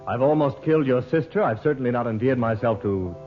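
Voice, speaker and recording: An actor playing an affable, apologetic gentleman reporter, courteous and easily flustered, in a 1940s radio drama. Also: medium pitch, fast talker, natural voice. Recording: dull old radio recording, faint music.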